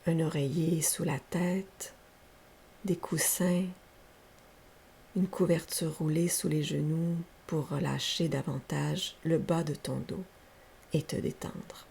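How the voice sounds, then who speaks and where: A woman guides a relaxation practice in a soft, gravelly low murmur with frequent creak. She speaks very slowly and gently, leaving each instruction room to settle. A faint hiss sits behind her voice. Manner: soft, breathy, unhurried, gentle. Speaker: yoga nidra teacher. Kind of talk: guided meditation, slow soothing instruction. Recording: faint steady background hiss.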